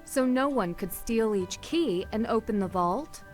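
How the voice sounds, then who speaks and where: A woman's voice: fairly high pitch, animated, measured pace, faint music behind. An actress playing a polite, inquisitive young female amateur detective in a video game. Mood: curious. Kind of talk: video game character dialogue.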